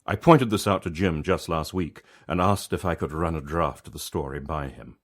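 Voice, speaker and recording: Male author-narrator, low voice, reflective conversational storytelling, measured delivery. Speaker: author reading own story.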